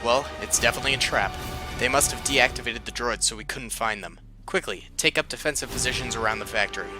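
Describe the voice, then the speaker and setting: A man's voice: medium pitch, quick pace, music in the background, close to an everyday voice. A man voicing a veteran male clone captain who reports in a formal, urgent way.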